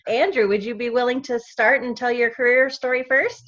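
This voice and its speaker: Relaxed woman hosting, dull lo-fi sound. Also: fairly high pitch, fairly quick. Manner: relaxed, improvising. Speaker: workshop host. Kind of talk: casual welcome talk.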